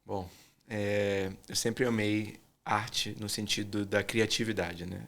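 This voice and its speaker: A man from Salvador with a Bahian accent, a guest artist speaking thoughtfully and softly in an interview, often pausing with fillers. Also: fairly low pitch, little pitch movement, vocal fry. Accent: Bahian Portuguese. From Salvador, Bahia, Brazil. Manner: soft-spoken, thoughtful, trails off with fillers. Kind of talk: reflective interview conversation.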